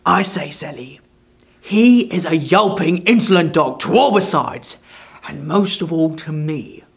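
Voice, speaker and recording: A man's voice: a little high, expressive, measured pace, creaky, husky, heavily acted. A man doing a sneering, spiteful dwarf. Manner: sneering, hissing, contemptuous. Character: spiteful scheming male dwarf, contemptuous.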